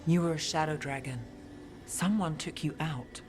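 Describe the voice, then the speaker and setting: A woman's voice: sits low, normal speed, a little mellow, close to an everyday voice. An actress playing a terse, cynical female detective in a fantasy game, with a firm, understated delivery. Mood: firm, interrogating. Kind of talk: dramatic game acting. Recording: game music behind.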